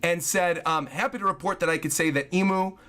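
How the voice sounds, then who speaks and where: Guy streaming with a fried scratchy voice, pitched kinda high, chatty and apologetic. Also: brisk, fairly bright tone. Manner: chatty, apologetic, thanks viewers often. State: hoarse, scratchy voice. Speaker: streamer reading viewer donations. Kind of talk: casual livestream chat.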